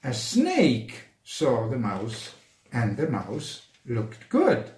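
An older man reading a children's story aloud in an expressive, theatrical manner. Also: mid-range voice. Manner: slow, theatrical, animated. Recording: roomy, distant microphone.

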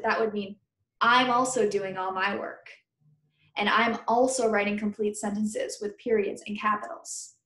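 A woman's voice: medium pitch, a bit bright. A woman teacher reading a children's book aloud, stopping to question and explain things to her pupils. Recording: roomy indoor echo.

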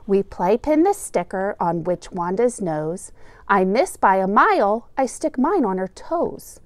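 A middle-aged woman teacher reading a children's picture book aloud in a deliberate, expressive storytelling manner. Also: medium pitch, no echo.

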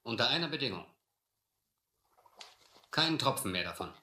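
An actor playing a stern, determined sea captain who narrates and commands in a firm, measured manner in a radio adventure play. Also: male voice, mid-range voice, very animated, sharp tone, echoey room. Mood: firm, terse. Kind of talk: German audio adventure drama.

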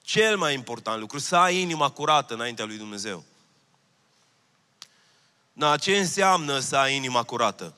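A man's voice: mid-range voice, animated, long pauses, sharp tone, smooth. A man in his thirties preaching a sermon from a church stage with a handheld mic, earnest and repeating his key phrases. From Romania. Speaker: pastor. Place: church stage, large hall. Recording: long hall reverb.

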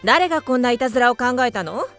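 An actress playing a genteel, gently scolding mother in a children's radio drama. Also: fairly high pitch, lively intonation, fast talker, soft background music. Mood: gentle scolding.

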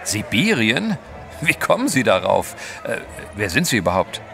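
An actor playing a debonair English gentleman adventurer, urbane, formal and dryly witty, in a German audio drama. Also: on the low side, huge pitch swings, normal speed, gravelly, faint music behind, heavily acted. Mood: surprised.

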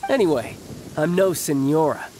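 A man's voice: fairly high pitch, moderate pace, darkish tone, slight background noise, natural voice. A man voicing a confident, teasing young warrior and doting big brother in a video game, with a playful, self-assured manner.

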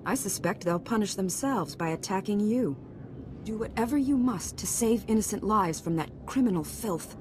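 A woman acts as a commanding game senator. She speaks in formal, authoritative phrases at an average pitch. A noticeable rumble sits behind her. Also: lively intonation, normal speed, a little mellow, lightly acted. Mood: stern urgency. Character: stern, commanding female senator, pressed for time. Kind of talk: video game cutscene acting. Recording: noticeable rumbling background noise.

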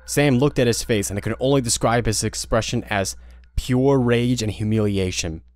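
A man narrating an audio drama in a steady, plain storybook style. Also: medium pitch, moderate pace. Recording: music bed underneath.

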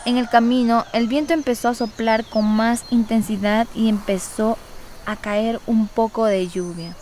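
A woman's voice: a little high, steady tone, measured pace, darkish tone, a little hiss or hum. A woman, narrating a scripted first-person documentary radio story.

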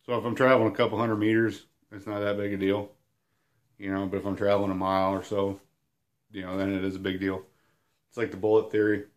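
Husky-voiced guy in his thirties with a cold, low voice, casual self-deprecating teacher talking to a camera at home. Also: little pitch movement, fast talker. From Illinois. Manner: rambling and self-deprecating, many fillers. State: hoarse from a cold, sniffling. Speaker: outdoors channel host. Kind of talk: casual whiteboard lesson. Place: small room at home, indoors.

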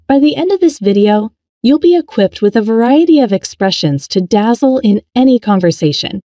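A woman narrating a scripted English lesson in a clear, upbeat, instructional way. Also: on the high side, lively intonation, moderate pace, warm tone, dry recording, studio quality.

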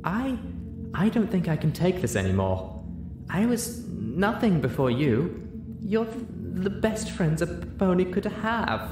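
Man voicing an elegant, emotional unicorn mare, high-pitched. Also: big pitch swings, a little slow. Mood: choked up. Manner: choked up, forcing steadiness. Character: elegant female unicorn, distraught but forcing composure. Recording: roomy echo, audible noise, low rumble.

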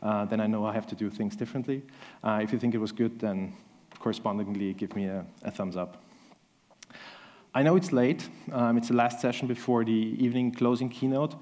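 A man's voice: on the low side, steady tone, a little fast, mellow. A man in his thirties from Austria with an Austrian German accent, giving a chatty, self-deprecating conference talk on a large stage, with many ums. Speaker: conference speaker.

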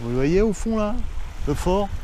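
A man's voice: high-pitched, expressive, moderate pace, warm tone, slight background noise, rough recording. A middle-aged man hosting a casual travel vlog, jokey and chatty, talking straight to viewers, filmed outdoors on an action camera at arm's length. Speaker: vlogger host. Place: outdoors at a campsite and on a beach. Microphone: action camera held at arm's length.

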